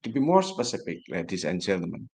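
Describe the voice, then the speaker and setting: Low-voiced Indonesian man lecturing. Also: sing-song, darkish tone. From Java, Indonesia. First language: Javanese. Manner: formal, deliberate, often addresses the audience directly. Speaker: guest lecturer, academic researcher. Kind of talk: academic lecture on research methods.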